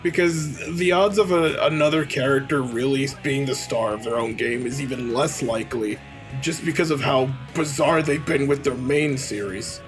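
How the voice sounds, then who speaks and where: A man's voice: mid-range voice, moderate pace, faint music behind. A man co-hosting a let's play, talking casually and bluntly in a rambling conversational way. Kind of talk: casual gaming commentary chat.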